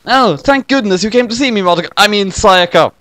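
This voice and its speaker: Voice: mid-range voice, expressive, fairly quick, a bit bright, dry recording, pitched above the performer's own voice, natural voice. A narrator voicing a naive, oblivious teenage boy who rambles earnestly in a parody story.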